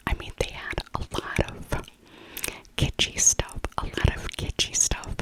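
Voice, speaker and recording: A woman speaking in a breathy, unvoiced whisper, gently rambling through a casual ASMR voiceover and often repeating her phrases. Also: low-quality recording. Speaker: ASMR creator narrating a shopping trip.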